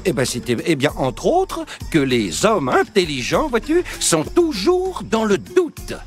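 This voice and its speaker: An actor playing a pompous, dim-witted and self-assured grand vizier in a children's cartoon, stammering and blustering. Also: male voice, high-pitched, wildly swooping pitch, measured pace, soft background music, full character voice. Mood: smug, boastful. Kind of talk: French children's cartoon acting.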